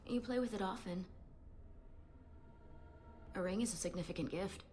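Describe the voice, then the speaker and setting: A woman's voice: medium pitch, normal speed, a little mellow, slight background noise, lightly acted. An actress playing a blunt young female elf warrior in a video game, speaking in a dry, sardonic, direct way. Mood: curious. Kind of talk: video game character acting.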